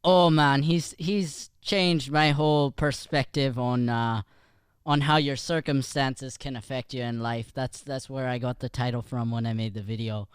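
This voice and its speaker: A man's voice: medium pitch, fairly even intonation, a little slow, smooth, no room sound, clean studio sound. A man co-hosting a live improv show with casual banter. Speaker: improv performer and co-host. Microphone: podcast microphone.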